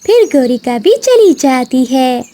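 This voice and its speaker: A woman's voice: high-pitched, very animated, slow pace, warm tone. A woman narrating a scripted children's cartoon story.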